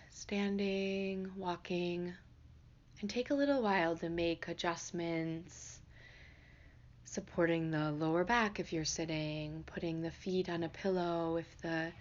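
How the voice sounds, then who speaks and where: Low-voiced woman guiding meditation, slightly muffled. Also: a little hiss or hum. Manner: gentle, unhurried, soothing. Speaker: meditation teacher. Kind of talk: guided meditation.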